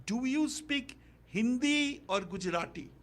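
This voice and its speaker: A man's voice: extremely high pitch, animated, measured pace, fairly bright tone. A man hosting a livestream interview, who praises the performance and reads out audience questions. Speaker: host.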